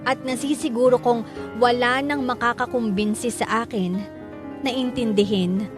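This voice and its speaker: A woman's voice: on the high side, steady tone, normal speed, music in the background. A woman with a Filipino accent giving dramatic first-person narration for a radio drama.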